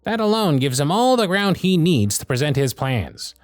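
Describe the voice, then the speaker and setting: Guy doing a bossy teasing elf supervisor, asmr roleplay, pitch moves around a lot, super dry recording. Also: sing-song, moderate pace, no room sound. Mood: stern, sardonic. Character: gruff but fond male elf workshop supervisor.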